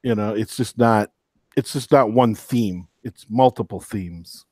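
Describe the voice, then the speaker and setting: A man's voice: fairly low pitch, unhurried, a little mellow, slightly rough, dry recording. A man co-hosting a casual podcast, enthusiastic and rambling, often restarting his sentences. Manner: enthusiastic, rambling, self-interrupting. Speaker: co-host.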